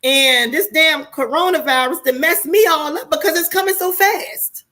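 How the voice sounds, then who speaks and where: High, bright-voiced American woman in her forties. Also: moderate pace. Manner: candid, colloquial, preachy, swears freely. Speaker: online show host talking to viewers. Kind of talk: casual livestream monologue.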